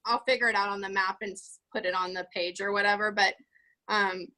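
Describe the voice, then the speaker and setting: A woman's voice: mid-range voice, fairly even intonation, brisk, sharp tone, rough recording. A woman leading a casual planning meeting, chatty and full of fillers as she runs through lists of items. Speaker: event organizer leading a meeting.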